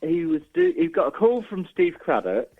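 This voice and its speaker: A man's voice: very high-pitched, huge pitch swings, moderate pace, creaky. A man chatting casually as an interview guest, hesitant and rambling in an informal way. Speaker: radio interview guest, musician. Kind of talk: casual chat. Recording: slightly dull, lo-fi sound.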